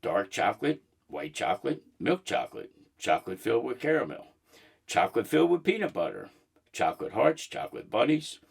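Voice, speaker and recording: A man reading a children's book aloud in an expressive storybook narration style. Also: medium pitch, brisk, creaky, breathy edge.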